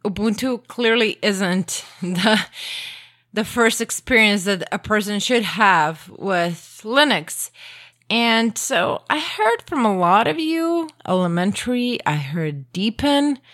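A woman's voice: mid-range voice, expressive, slow, fairly bright tone, dry recording. Croatian-native woman, earnest guest on a dry mic. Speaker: podcast guest. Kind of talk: casual podcast conversation.

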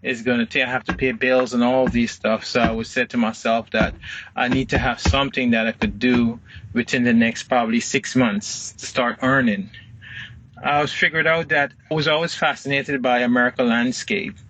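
Guest, middle-aged man, Guyanese accent, relaxed storyteller, bright tone. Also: mid-range voice, normal speed, slight background noise, low-quality recording. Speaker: guest, photographer. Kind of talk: casual interview answers.

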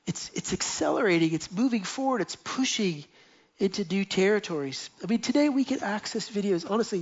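Earnest preacher, dull lo-fi sound. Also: male voice, high-pitched. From United States. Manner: earnest, builds with lists and repeated phrases. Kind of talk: sermon. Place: church meeting, live streamed.

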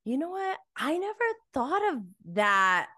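Bright, enthusiastic woman host. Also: sits high, sing-song, unhurried. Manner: enthusiastic, affirming listener. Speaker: podcast host. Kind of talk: casual interview chat.

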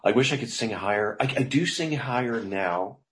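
A man's voice: mid-range voice, animated, normal speed, fairly bright tone, a little hiss or hum. A middle-aged man, a songwriter guest, talking loosely in an interview, rambling with restarts. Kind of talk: casual interview talk.

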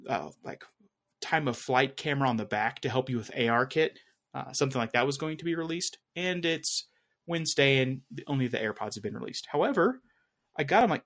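A man's voice: mid-range voice, creaky, a bit bright. A young adult man co-hosting a podcast, talking casually about tech with lots of fillers and restarts. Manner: rambling, full of fillers and restarts. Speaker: podcast co-host.

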